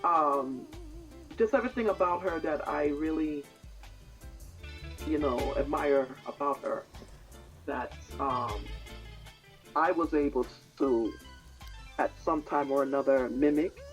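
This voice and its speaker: A woman with a British-tinged Jamaican accent, chatting as an interview guest in a studio, soft-spoken and halting, with many fillers and easy laughter. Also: low voice, soft background music. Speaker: reggae singer, guest. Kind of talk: casual interview chat. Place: music studio.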